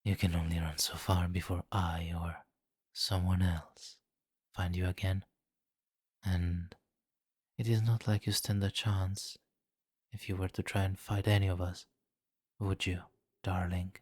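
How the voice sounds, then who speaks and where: Man playing a deep, slow, imperious vampire king. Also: level tone, breathy edge, no echo, clean studio sound. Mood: taunting, predatory. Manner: slow, commanding, teasing, softly menacing. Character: seductive, menacing, imperious vampire king, condescendingly amused. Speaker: roleplay voice performer. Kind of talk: intimate ASMR fantasy roleplay.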